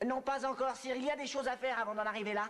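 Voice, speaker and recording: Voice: mid-range voice, steady tone, very fast, fairly bright tone, natural voice. An actor voicing a fussy, officious animal creature in a French-dubbed cartoon.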